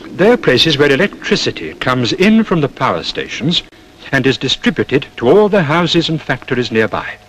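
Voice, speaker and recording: A British actor playing a knowing, patient but stern safety teacher, explaining and admonishing in a scripted public information film. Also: male voice, fairly high pitch, a bit bright, rough recording. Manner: instructive, admonishing, measured explanations. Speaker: safety film narrator and instructor.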